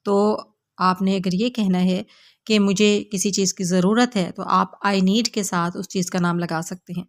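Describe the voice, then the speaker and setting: Slow, careful woman tutor. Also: mid-range voice, fairly even intonation. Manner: repeats English phrases very slowly, explains in Hindi-Urdu. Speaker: English teacher. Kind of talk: slow teaching drills.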